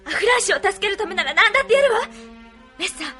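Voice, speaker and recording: Headstrong young resistance heroine, very high, earnest, insistent, anime audio drama, music behind. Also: female voice, animated, fast talker, sharp tone, full character voice. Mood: pleading, determined.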